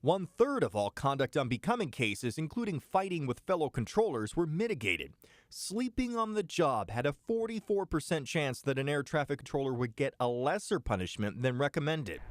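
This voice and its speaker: A man with an American accent narrates investigative television news in a fairly high register. His delivery is scripted and his pitch moves animatedly from phrase to phrase. The close voice-over sound is dry. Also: no echo. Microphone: close studio voice-over microphone.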